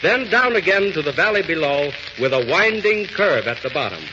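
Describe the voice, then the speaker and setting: A man's voice: on the high side, big pitch swings, moderate pace. A man narrating a radio serial in a dramatic, declamatory 1940s style. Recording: old muffled radio recording, clear noise.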